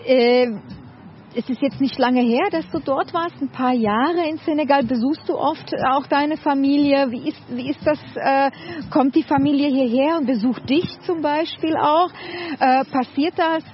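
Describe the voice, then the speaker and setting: Woman interviewer, pretty high voice, informal chatty questions, muffled lofi recording w bit of noise. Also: measured pace. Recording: muffled lo-fi sound, faint noise.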